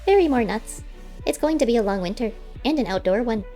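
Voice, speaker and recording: Woman voicing a high, wistful male squirrel. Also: animated, fairly quick, mellow, faint music behind, close to an everyday voice. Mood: guarded. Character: magically clever male squirrel, wistful and bargaining. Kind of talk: audiobook character voice.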